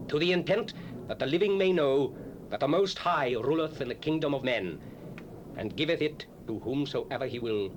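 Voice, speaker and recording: A man's voice: medium pitch, close to an everyday voice. Man doing a proud old-time king, grand and commanding, faint tape hiss. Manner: stately, declamatory.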